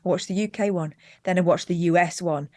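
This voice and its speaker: A woman's voice: fairly low pitch, mellow, dry recording. A young woman chats easily beside the host, laughing readily as she talks about what she has been watching. Her voice sits close and dry, with no room sound around it. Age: young adult. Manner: chatty, quick to laugh. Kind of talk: casual live-stream chat.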